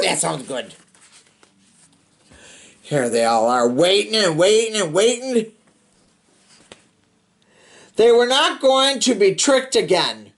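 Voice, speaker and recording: A reader performs a picture book for children with a theatrical, playful touch. The pitch swings widely and the pace is slow. The narration mixes careful reading with informal, chatty reactions. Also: creaky. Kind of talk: expressive read-aloud with chatty asides.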